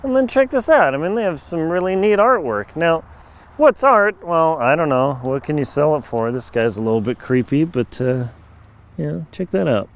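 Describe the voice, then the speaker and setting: A middle-aged man, a bit hoarse, chatting wryly to a handheld camera outdoors at a farm, with jokey asides. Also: fairly high pitch, lively intonation, moderate pace. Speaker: travel vlogger. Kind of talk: casual handheld travel vlog. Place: outdoors on a rural farm lot. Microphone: handheld camera mic at arm's length.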